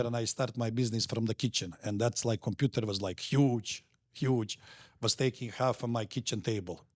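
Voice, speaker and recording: A middle-aged man from Montenegro with a Montenegrin accent, talking as a guest in an interview in halting, repetitive, simple phrases. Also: mid-range voice. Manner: halting, repeats words, simple grammar. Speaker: guest entrepreneur. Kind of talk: podcast interview.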